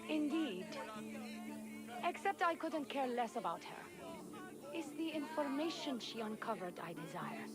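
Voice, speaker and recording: A woman playing a cold, imperious and suspicious High Priestess in a video game, with a disdainful manner. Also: big pitch swings, measured pace, pauses often. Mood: haughty. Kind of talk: scripted video game dialogue. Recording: loud music behind the voice.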